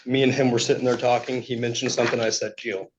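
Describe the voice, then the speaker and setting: A man giving unscripted testimony to a judge, speaking in a halting, polite way. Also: mid-range voice, flat delivery, noisy background. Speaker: petitioner testifying in court. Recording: dull, lo-fi courtroom audio.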